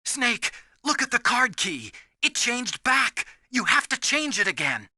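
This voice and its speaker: An actor playing an earnest, nerdy young American engineer in a video game, explaining things emphatically with anxious sincerity. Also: male voice, very high voice, very animated, a little slow, sharp tone, no room sound, clean studio sound, heavily acted. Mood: urgent instructing. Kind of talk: dramatic video game voice acting.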